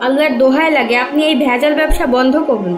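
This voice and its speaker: A woman plays a devout cartoon wife with a clearly high voice. She speaks earnestly, pleading and admonishing her husband like a moral guide. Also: fast, over music, close to an everyday voice. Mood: reproachful. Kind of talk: cartoon voice acting in Bengali.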